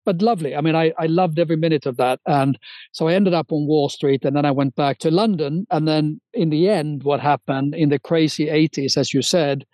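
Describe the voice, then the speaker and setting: A man's voice: a little high, fairly quick, darkish tone, no echo. A man in his sixties, originally from Sweden, telling his career story as a podcast guest with fluent enthusiasm and small restarts. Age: 60s. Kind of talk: interview storytelling.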